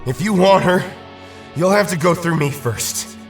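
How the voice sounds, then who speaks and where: A man's voice: sits high, measured pace. A man playing a firm, principled superhero in an audio drama, earnest, urgent and confrontational. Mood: urgent, angry. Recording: distant mic, faint music.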